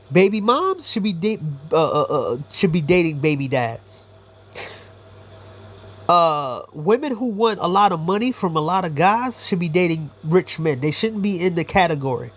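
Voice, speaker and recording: Man, high-pitched voice, blunt, swearing, rambling monologue. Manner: blunt, profane, rambling opinions. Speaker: YouTuber commentator. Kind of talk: casual monologue commentary.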